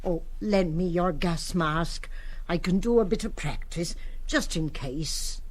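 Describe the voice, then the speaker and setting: An actress playing an elderly, homely, bossy nanny in a radio play. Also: female voice, fairly low pitch, slow. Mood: scolding, grumbling. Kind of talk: radio stage-play acting.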